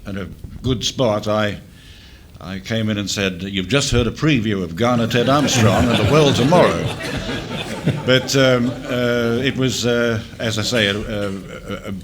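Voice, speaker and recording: A man in his sixties from Australia, a former radio DJ telling a halting, filler-laden story at a panel talk. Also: on the low side, a crowd in the background. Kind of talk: reminiscing panel chat.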